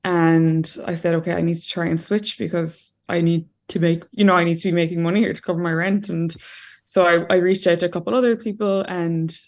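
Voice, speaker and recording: A woman's voice: lowish voice, steady tone, brisk. A young Irish woman talking as an interview guest over a video call from a room at home, chatty and full of fillers. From Ireland. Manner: chatty, lots of "like" and "you know". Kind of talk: casual interview talk.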